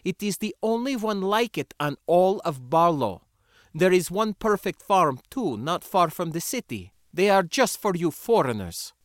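Guy doing a angry young rebel girl for an audiobook, pitched way up, dismissive, really animated. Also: a little slow, dry recording, clean studio sound. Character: fiery scornful young woman rebel, quick to anger.

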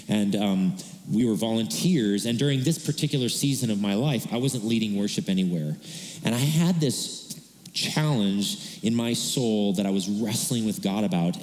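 A man speaking from a church stage in a loud, earnest voice. He preaches conversationally and pokes fun at himself. His voice rings and lingers in the long reverb of the sanctuary. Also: mid-range voice. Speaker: worship pastor. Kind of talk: sermon. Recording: echoey reverberant church hall.

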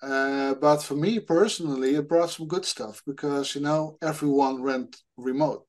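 A man's voice: on the high side, normal speed. Dutch-accented man, joking panel guest. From Netherlands. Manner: jokey, self-mocking, laughs often. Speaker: guest developer and coach. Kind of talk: casual panel chat.

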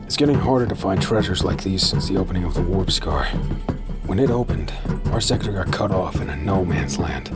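An actor playing a hardened pirate scavenger in an audio drama, narrating in a grim, measured first person. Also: male voice, sits low, lively intonation. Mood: brooding. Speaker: narrator and lead character. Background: loud music.

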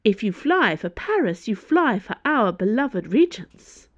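Lady doing an imperious older woman cardinal, slow n haughty, sarcastic schemer, audiobook, swoopy pitch, bit dull sound. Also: sing-song, dry recording. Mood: cold menace. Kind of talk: audiobook character voice.

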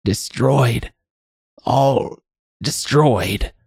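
A man voicing a hunched, eager and bitter old woman, an outcast mage in a fantasy story, with a croaking, breathy voice. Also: medium pitch, a little mellow, no echo, pitched above the performer's own voice, heavily acted. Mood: secretive, bitter. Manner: halting fragments, then eager outpouring.

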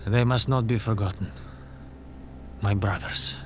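Actor as a stoic Russian former prisoner. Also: male voice, lowish voice, fairly even intonation, a little slow, a little hiss or hum, lightly acted. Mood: solemn. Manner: curt, solemn. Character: stoic, terse, grieving freed Russian prisoner of war. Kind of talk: naturalistic audio drama acting.

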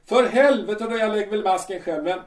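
A man's voice: sits high, recorded from a distance, close to an everyday voice. A man playing an irritable, impatient stage actor in an audio drama, blustering and cursing when things go wrong. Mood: exasperated. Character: vain irritable male stage actor Peter. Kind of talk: amateur audio drama acting. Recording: roomy, from off-mic.

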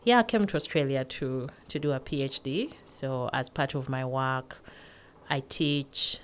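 A woman in her forties from northern Uganda, a guest answering interview questions in a calm, measured way. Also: very deep voice. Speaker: podcast guest, academic.

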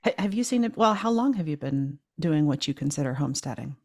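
A woman's voice: lively intonation, fast, dry recording, clean studio sound. A woman hosting a farming podcast, introducing the show and asking upbeat questions. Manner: bright upbeat questions, pitch rising. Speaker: podcast host and farmer.

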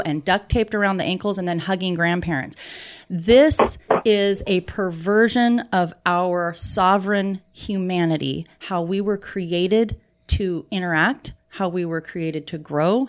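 A woman talking in an earnest, emphatic and wordy way, in an impassioned conversational style with spiritual language. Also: mid-range voice.

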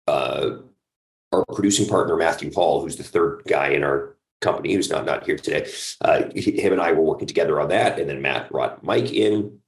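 A man with an extremely low voice chats casually as a podcast guest. He is easygoing and talkative, and the sound is noticeably roomy and echoing. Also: brisk. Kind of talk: casual interview chat.

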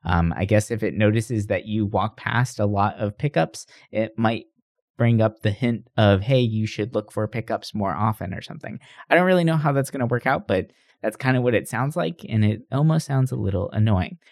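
A man's voice: lowish voice, moderate pace, vocal fry, darkish tone, no echo, studio quality. A man hosting a podcast, talking through gaming news in a casual, conversational way and often tagging on questions to check agreement. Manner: conversational, rhetorical tag questions. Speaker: podcast host.

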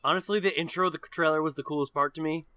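A man's voice: on the high side, fairly even intonation, racing, bright, forceful tone, no echo. A man co-hosting a casual podcast, chatting with dry jokes. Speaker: podcast co-host. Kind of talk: casual chat.

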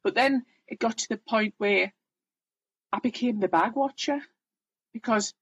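A woman's voice: fairly high pitch, measured pace, breathy edge, dry recording, poor audio. A woman in her fifties with a Geordie accent, telling her own story in a candid, plain-spoken, self-deprecating way as an interview guest.

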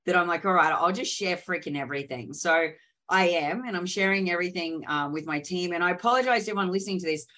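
A woman co-hosts a podcast over a video call. She talks in a chatty, emphatic, open way. Her low voice is still a little worn from a sore throat. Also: fast, pushed and bright. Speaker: podcast co-host. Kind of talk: casual chat.

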